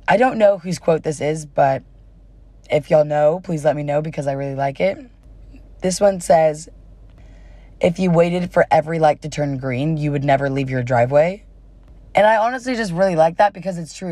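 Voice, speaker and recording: A woman's voice: sits low, mellow, no echo. A young adult woman hosting a casual solo podcast in an informal, chatty way, her voice slightly worn as if she is starting to lose it. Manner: informal, giggly, uses y'all. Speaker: podcast host.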